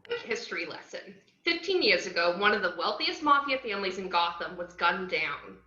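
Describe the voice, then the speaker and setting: A woman's voice: medium pitch, very animated, normal speed, bright, forceful tone. A woman playing a wry, cheeky antiheroine narrating a script at an amateur table read. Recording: roomy, slightly dull video-call audio.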